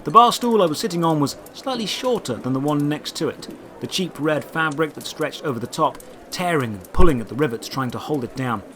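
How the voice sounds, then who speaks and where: A man narrating a scripted fantasy adventure in the first person, with deliberate, theatrical storytelling delivery. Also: on the high side, lively intonation, fairly bright tone, slight background noise. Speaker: narrator and writer of the series.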